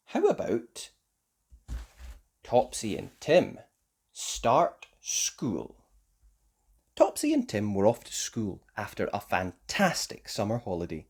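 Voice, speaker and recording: Animated storybook reader with very lively pitch. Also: breathy edge. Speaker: children's story reader, host. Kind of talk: expressive read-aloud storybook narration.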